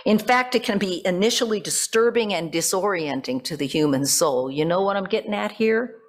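A woman's voice: medium pitch, expressive, normal speed. A middle-aged American woman delivering a reflective sermon from a church pulpit, phrase by phrase, putting questions to the congregation. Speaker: pastor preaching. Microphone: gooseneck pulpit microphone.

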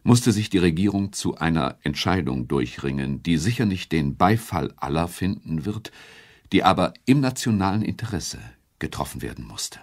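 An actor playing a self-righteous head of government in a radio play, speaking in a pompous, oratorical manner. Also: male voice, low-pitched, expressive, normal speed. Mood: solemn, insistent. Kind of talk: German radio play political address.

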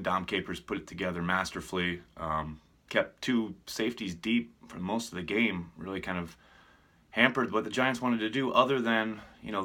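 A man's voice: sits low, pushed and bright. A middle-aged American man chatting casually about sports to camera as a reporter hosting a segment. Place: small furnished room at home, indoors.

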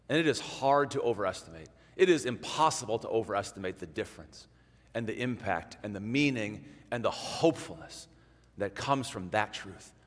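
A man in his forties preaching a sermon in a large church. He speaks conversationally with animated gestures and drops to a hushed voice for emphasis. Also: lowish voice. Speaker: pastor. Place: large church sanctuary in front of an altar. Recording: long church reverb.

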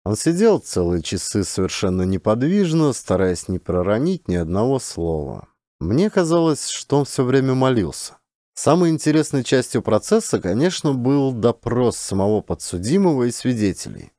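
A man reading a literary story as an audiobook narrator, in an expressive, measured storytelling manner. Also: medium pitch, dry recording, studio quality.

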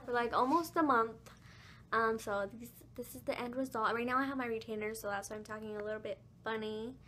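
A young woman with a raspy voice, chatting casually in a home vlog, apologetic and full of ums and likes. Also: a little high, fairly quick, bright, forceful tone, slight background noise. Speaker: vlogger. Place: bedroom at home. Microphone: phone held at arm's length.

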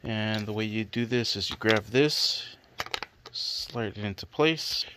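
A man's voice: fairly low pitch, slow. A man, a dad, casually narrating an unboxing review in a laid-back, plain-spoken way in a bedroom. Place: bedroom, indoors. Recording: noisy handheld home recording.

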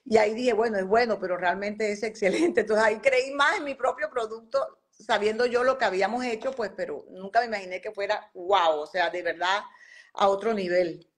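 A woman's voice: mid-range voice, a little fast. A woman, talking as a guest on a live video call and animatedly acting out quoted dialogue as she tells stories. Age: 40s. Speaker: guest doctor and skincare entrepreneur. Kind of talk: casual live interview. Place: home room by a bright window. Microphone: phone on video call.

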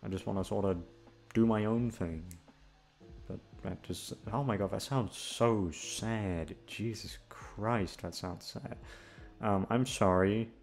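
A man's voice: lowish voice, takes it slowly, vocal fry. A man rambling casually in a scattered, self-deprecating way, swearing freely as he chats to listeners. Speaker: audio roleplay creator. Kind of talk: casual rambling outro chat. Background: background music.

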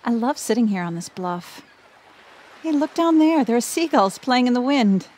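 A woman's voice: on the high side, animated, normal speed, darkish tone. An actress playing a wry, affectionate middle-aged mother and wife in an audio drama. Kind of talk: family audio drama acting.

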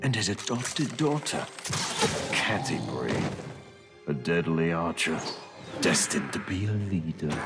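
An actor playing a gloating, scheming villain who covets a magic shard, in a game cutscene. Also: male voice, sits low, lively intonation, slow, long pauses. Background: loud orchestral music.

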